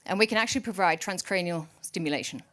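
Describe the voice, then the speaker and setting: A woman chief executive speaks from a conference stage, pitching her company to the investors in the room. Her delivery is energetic and salesy, with a joking edge. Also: quick pace. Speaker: startup CEO pitching to investors.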